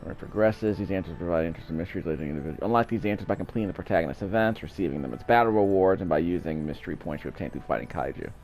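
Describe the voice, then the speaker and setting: Animated man casually commentating a game. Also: low-pitched, very fast, few pauses. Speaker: let's play commentator.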